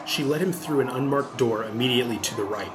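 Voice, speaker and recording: A man's voice: medium pitch, fast talker, noisy background. A man narrating a scripted adventure audiobook as the storyteller.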